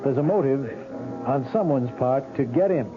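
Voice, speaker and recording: An actor playing a patient, skeptical police detective in a vintage radio drama, speaking in a measured, probing, gently placating way. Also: male voice, medium pitch, lively intonation, narrow, tinny audio, natural voice. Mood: explaining, placating. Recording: band-limited old radio recording with music.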